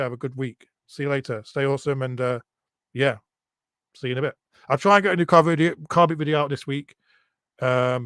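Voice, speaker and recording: A man hosts a casual live stream, easygoing and a little hesitant, filling his pauses with ums. His voice sits in a middle pitch. The sound is dry and close, with no room echo. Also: fairly bright tone. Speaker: YouTube streamer host. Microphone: laptop.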